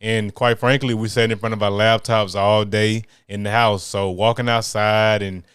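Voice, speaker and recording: A male podcast host with a lowish, smooth voice talks in an upbeat, slangy way. He is recorded close and dry, with no room sound behind him. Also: little pitch movement, normal speed, a bit bright, no room sound. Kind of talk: casual podcast talk.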